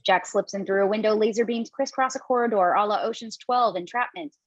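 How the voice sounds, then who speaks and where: A woman's voice: mid-range voice, brisk, dry recording. A woman narrating stage directions for an online table read, reading plainly and dropping the odd casual aside. Her mic sounds dull and dry.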